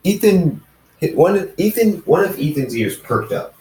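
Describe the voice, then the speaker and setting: A man reading fan fiction aloud as an amateur narrator, halting and often going back to repeat phrases. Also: high voice, very animated, darkish tone. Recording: roomy echo, distant from the mic.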